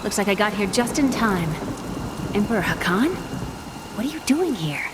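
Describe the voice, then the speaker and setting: A woman voicing a capable ally who speaks quickly and firmly. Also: medium pitch, sing-song, close to an everyday voice. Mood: resolute. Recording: game mix with rain noise.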